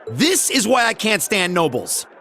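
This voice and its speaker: A man's voice: high-pitched, huge pitch swings, a little slow, vocal fry, bright, forceful tone, faint music behind, full character voice. An actor playing an irritable young male student in a video game, speaking bluntly and indignantly. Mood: exasperated. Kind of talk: English-language game voice acting.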